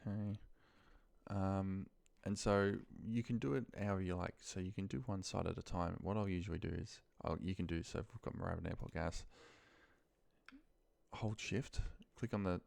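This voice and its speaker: Male instructor, deep and fry-edged, casual unscripted software walkthrough with a muttered, thinking-aloud manner. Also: fairly even intonation, moderate pace, dry recording. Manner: informal, muttering to himself while working. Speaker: instructor demonstrating a spreadsheet task. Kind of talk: casual screen-share tutorial, unscripted.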